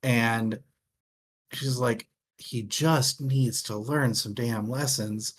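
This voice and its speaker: A man with an average-pitched voice talks through a book in a casual, halting way. He takes his time, often searching for the next phrase, and drops in offhand swearing. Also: steady tone, breathy edge. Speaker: co-host of a book discussion show.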